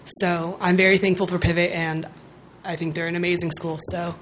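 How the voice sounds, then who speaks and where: A woman in her twenties, hoarse from losing her voice, giving an earnest public comment at a school board meeting. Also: on the low side, flat delivery, normal speed, slight background noise. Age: 20s.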